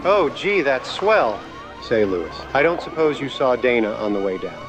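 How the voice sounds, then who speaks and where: A man playing a wisecracking, deadpan, self-mocking ghost-catching hero in a motion comic, with a dry, sardonic delivery. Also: mid-range voice, normal speed, close to an everyday voice. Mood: sarcastic. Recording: dull sound under loud music.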